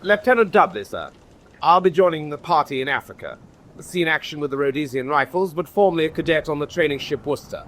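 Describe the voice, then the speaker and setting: An actor playing a polite, self-assured lieutenant in a radio drama, with correct, clipped military speech. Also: male voice, a little high, expressive, fast, a bit bright. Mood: politely assertive.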